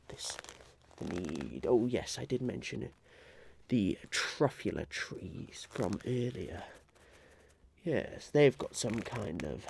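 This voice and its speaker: A man playing a scheming, eccentric businessman in an ASMR roleplay, musing haltingly and repeating his phrases. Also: lowish voice, gravelly, slight background noise, full character voice. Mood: pleased, scheming.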